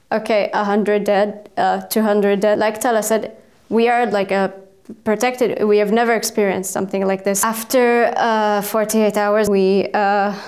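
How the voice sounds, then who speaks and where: A young woman in her twenties from Israel, speaking English, answering interview questions hesitantly and carefully, with many pauses. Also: mid-range voice, darkish tone. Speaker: student.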